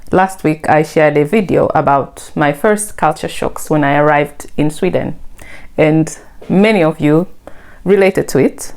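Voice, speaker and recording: A woman's voice: low-pitched, unhurried, a little mellow. A woman from Tanzania hosting her own vlog, explaining things in a friendly, conversational way. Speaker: vlogger. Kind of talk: scripted vlog narration.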